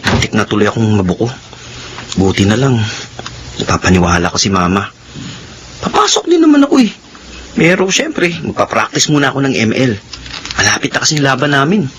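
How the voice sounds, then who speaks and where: A sly Filipino teen son, bright and animated, radio drama. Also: male voice, medium pitch, a little fast, pauses often, a little hiss or hum. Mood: scheming. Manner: defensive, scheming. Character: teenage son, sly, skipping school. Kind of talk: classic radio drama acting.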